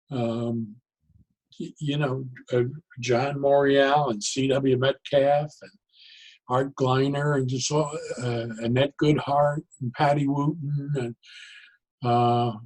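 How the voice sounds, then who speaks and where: Male interview guest, sixties or older, medium pitch, casual and halting, thinking as he goes. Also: poor audio. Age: 60s or older. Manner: halting, reflective, often pausing mid-sentence. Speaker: podcast guest, psychologist and humor speaker.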